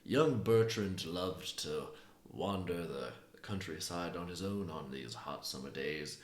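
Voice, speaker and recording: A man improvising a folktale, telling it haltingly with pauses between phrases in a gravelly, creaky voice. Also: low voice. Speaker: writer improvising a story. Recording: roomy, echoing sound.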